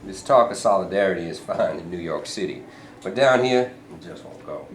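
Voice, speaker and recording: A man playing a cautious, pragmatic union president in a staged reading, with halting delivery. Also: low voice, animated. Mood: measured, wary. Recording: echoey room, faint noise.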